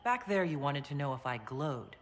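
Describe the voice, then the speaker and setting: A woman voicing a composed, questioning warrior leader in a video game, measured and direct. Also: very deep voice, lively intonation.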